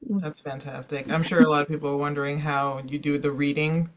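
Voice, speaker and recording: A man presenting informally to an online panel as a moderator, explaining things in a halting, conversational way. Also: on the high side, little pitch movement. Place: online panel, speaking over slides.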